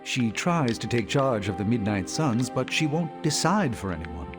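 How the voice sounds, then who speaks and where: Man playing grave, animated warrior over music. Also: mid-range voice, lightly acted. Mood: serious. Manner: formal, deliberate. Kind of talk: video game cutscene dialogue. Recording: music behind the voice.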